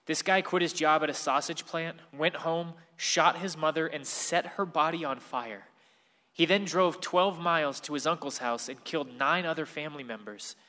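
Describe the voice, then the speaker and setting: A man's voice: fairly high pitch, normal speed, pushed and bright. An actor in a radio play voicing a grim American man who reports news in dramatic, declarative statements. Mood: grim, urgent. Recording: long hall reverb.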